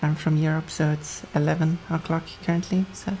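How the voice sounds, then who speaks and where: A woman's voice: low voice, flat delivery, a little fast, dark tone, slight background noise, poor audio. A young Swedish woman with a Swedish accent, chatting casually with her viewers while playing a racing game, laughing often. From Sweden. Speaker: streamer. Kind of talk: casual gameplay commentary.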